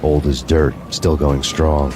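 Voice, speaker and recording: An actor playing a weary, sardonic hard-boiled cop, narrating a video game in noir style. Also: male voice, a bass voice, takes it slowly, background noise. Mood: grim. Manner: flat, deadpan, sardonic.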